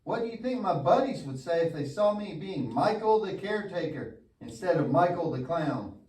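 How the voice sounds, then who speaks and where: A man's voice: sits high, big pitch swings, moderate pace, a little mellow. A man playing a goofy, lazy, mischievous young boy puppet in a church show, with an exaggerated, wisecracking delivery. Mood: hesitant. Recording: echoey room, distant mic.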